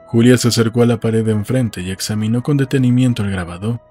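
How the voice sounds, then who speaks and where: A man's voice: medium pitch, fast, dark tone, faint music behind. A man reading scripted literary narration as the narrator of an audiobook.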